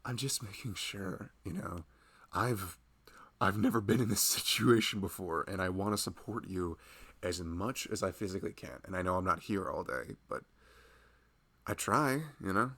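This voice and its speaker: Man acting as a low, creaky-voiced loving husband. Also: lively intonation, normal speed, heavily acted. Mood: tender, concerned. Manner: soft-spoken, rambling, conversational. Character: loving, protective husband of a pregnant wife. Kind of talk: intimate ASMR roleplay, casual improvised chat.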